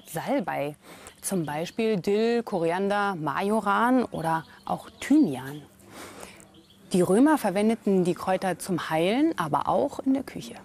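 A woman's voice: medium pitch, animated, a little slow, a little mellow. A woman presenting and narrating a documentary in scripted sentences.

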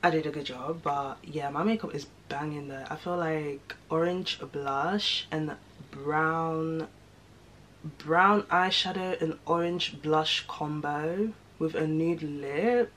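Chatty low-voiced young woman vlogging. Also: slow pace, a bit bright, a little hiss or hum. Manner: chatty, rambling, lots of fillers. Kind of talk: casual vlog chat to camera.

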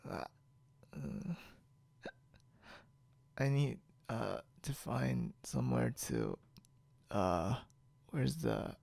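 A man playing a stubborn, boastful, confused drunk young man in an ASMR roleplay, halting and rambling with mumbled, repeated words. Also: medium pitch, very animated, a little mellow, dry recording, full character voice. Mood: confused, woozy. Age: young adult. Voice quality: gravelly, creaky.

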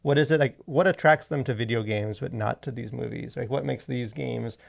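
Man thinking aloud, creaky voice. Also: mid-range voice. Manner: halting, wordy, thinking aloud with frequent rephrasing. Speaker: podcast co-host. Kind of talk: casual podcast discussion. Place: small indoor podcast studio. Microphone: close boom-arm studio microphone.

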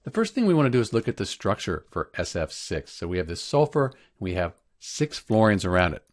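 A man with a fairly low voice narrates a tutorial like a teacher. His intonation is very animated, rising and falling often as he explains each step in an orderly way. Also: brisk. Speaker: chemistry teacher, presenter.

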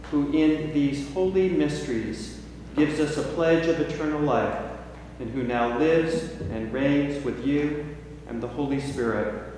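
An older man, a priest, welcoming the congregation and reciting liturgical prayers in a measured, solemn way, recorded in a large church from a distant camera. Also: fairly high pitch, a little mellow, slight background noise. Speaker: priest leading worship. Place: large church sanctuary, indoors. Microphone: far camera. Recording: echoey church from far off.

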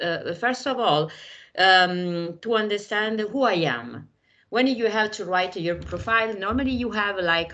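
A woman's voice: mid-range voice, fairly bright tone. A woman presenting an online art training course over a video call, speaking hesitantly with many fillers and restarts in English that is not her first language. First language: Italian. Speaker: webinar trainer.

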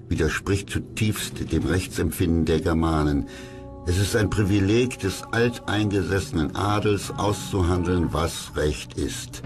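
Very deep-voiced male documentary narrator. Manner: measured, factual. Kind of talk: scripted history documentary narration. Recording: clear music under the voice.

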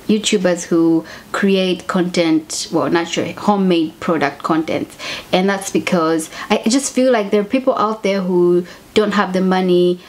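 A woman's voice: on the low side, a little slow. A young woman from Zambia, talking to camera in a casual vlog, chatty and often correcting herself mid-sentence. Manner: chatty, self-correcting, conversational. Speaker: YouTuber. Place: home room with bookshelves. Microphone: camera at desk distance.

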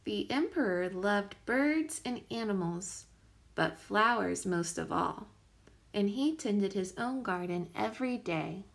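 A woman reading a children's picture book aloud as a teacher would, with careful, expressive storytelling emphasis. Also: mid-range voice, takes it slowly, gravelly.

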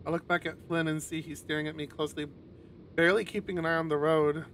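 A man's voice: a little high, flat delivery, fast talker, fairly bright tone, slight background noise. A man streaming a visual novel, reading the narration aloud and dropping in casual, sweary asides. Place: home streaming setup, livestream.

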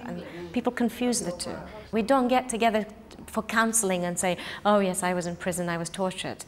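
A woman in her thirties, a poet from Kurdistan whose first language is Kurdish, speaking thoughtfully in a documentary interview on a lapel mic. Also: medium pitch. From Kurdistan, northern Iraq. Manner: thoughtful, searching for words, gestures with hands. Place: indoors, in front of a purple wall.

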